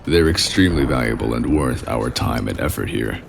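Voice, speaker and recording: A man playing a courteous, guarded military captain in a fan audio drama, with a gravelly voice and polite formality. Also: animated, normal speed, background noise, exaggerated voice. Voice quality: very deep, gravelly.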